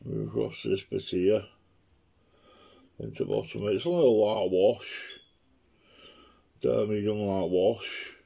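A man with a croaky, infection-roughened voice talks his way through a watercolour painting at his living-room table. He speaks slowly and in a rambling way, his voice low, dark and gravelly. It sounds like a home video from a camera sitting on the table. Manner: rambling, chatty, talks to himself while painting. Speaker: watercolour artist demonstrating. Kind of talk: casual painting demo commentary. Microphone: camera on the table, speaker off-screen.

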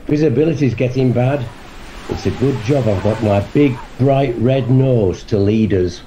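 A deep, booming male reindeer, as a man puts him on, bluff and matey in a radio pantomime. The voice drops well below the performer's usual pitch, with a dark, mellow tone, and comes out loud. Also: a little slow, a little hiss or hum, close to an everyday voice. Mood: hearty. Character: gruff hearty male reindeer guide.

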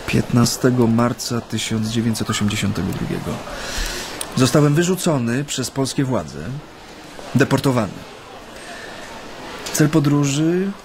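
An actor in a radio play voicing an earnest, weary Japanese activist in his thirties, speaking intimately and sometimes haltingly. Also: medium pitch, background noise. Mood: reflective. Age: 30s. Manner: hesitant, halting when addressing people. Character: deported Japanese man, Solidarity activist, earnest and weary, recounting memories. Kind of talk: radio play acting, intimate first-person narration.

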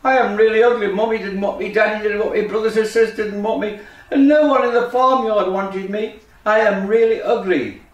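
A man's voice: sits high, a little fast, dull audio, pitched above the performer's own voice, close to an everyday voice. A man voicing a sad, rejected young duckling in a pleading, plaintive way for a children's story. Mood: sad, insistent. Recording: echoey room, distant microphone.

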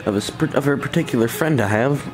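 A man's voice: medium pitch, fast talker. A man giving a casual, offhand demonstration as a hobbyist, speaking informally into a handheld camera in a room at home. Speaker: audio hobbyist presenter. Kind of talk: casual handheld demo commentary. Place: room at home with wooden floor.

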